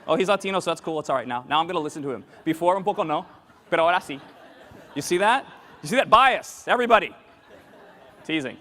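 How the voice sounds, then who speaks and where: A man in his forties delivers a lively keynote in a fairly high voice. He jokes and fires questions at the room. His voice rings with the long reverb of a large conference hall. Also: fast, long pauses. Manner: animated and joking, quizzes the audience. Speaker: keynote speaker.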